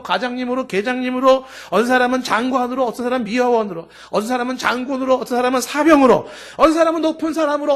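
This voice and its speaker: A middle-aged male pastor preaching a Sunday sermon, running through long emphatic lists and swinging between loud, drawn-out peaks and soft, low endings.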